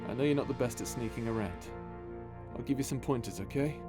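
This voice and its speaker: A man's voice: mid-range voice, quick pace, close to an everyday voice. Man acting as cocky space pirate captain, music behind. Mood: confident, sneaky. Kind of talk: ASMR roleplay audio drama acting. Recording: music under the voice.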